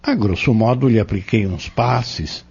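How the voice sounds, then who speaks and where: An actor playing an earnest, patient spiritual mentor in an audio drama, courteous and explanatory. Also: male voice, lowish voice, a little slow, vocal fry, a little mellow, slightly rough, highs cut off, exaggerated voice. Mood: patient, instructive. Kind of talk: audio drama dialogue, didactic.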